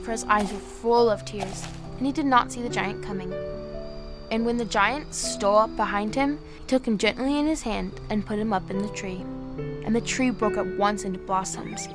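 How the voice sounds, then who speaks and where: A woman as a gentle storybook reader. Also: mid-range voice, lightly acted. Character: young woman reading a fairy tale aloud. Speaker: storyteller within the drama. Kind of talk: audio drama. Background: music.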